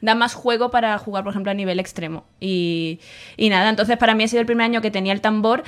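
A woman's voice: fast talker, clear, resonant tone. Chatty woman telling family anecdotes. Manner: chatty and animated, acts out family quotes in higher voices. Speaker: podcast co-host. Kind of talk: casual chat. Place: home studio beside the other host.

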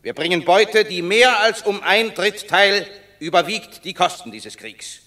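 A defiant returning general in a classical radio play. He speaks slowly, with a bright tone and a rising-and-falling melody that keeps each verse line stately. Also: high voice, lively intonation, full character voice. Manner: measured, stately verse delivery. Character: proud returning war hero, stern and defiant.

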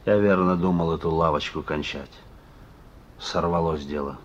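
An actor playing a sullen, fatalistic, wounded young petty criminal, curt and colloquial, in a Soviet radio play. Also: male voice, moderate pace, highs cut off. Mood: weary, subdued. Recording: noisy old radio recording with rumble.

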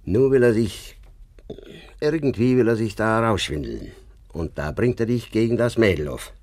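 A man's voice: expressive, normal speed, darkish tone, no echo, studio quality. An actor playing a proud, stern old village father in a radio play, with an emphatic, hot-tempered way of talking. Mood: defiant, angry. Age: older. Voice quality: husky, very deep. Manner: emphatic, agitated, swinging from muttering to outbursts. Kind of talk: naturalistic German radio play acting.

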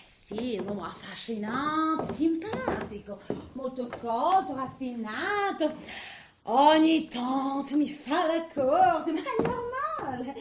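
A woman's voice: sits high, very animated, takes it slowly, noisy background, full character voice. A woman playing a vain, coquettish middle-aged lady in a comic stage monologue, affected and theatrical, with French interjections. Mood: coy, boastful. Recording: roomy, echoing stage sound.

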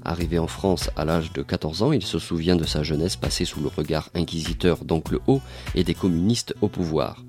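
A man narrating a documentary in a measured, informative way and asking interview questions. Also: very deep voice, a little mellow, soft background music.

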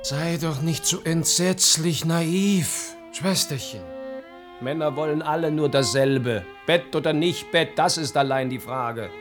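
An actor playing a cynical, protective older brother in a radio play, lecturing in a mocking, worldly-wise way. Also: male voice, a little high, unhurried, music in the background, lightly acted. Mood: mocking, admonishing.

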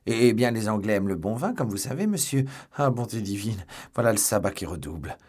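A man's voice: lowish voice, lively intonation, fairly quick, a little mellow, husky. A man voicing an anxious, servile innkeeper in a plaintive, exclamatory way, as in an audiobook. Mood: piteous, agitated. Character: piteous, complaining male innkeeper, anxious and servile.

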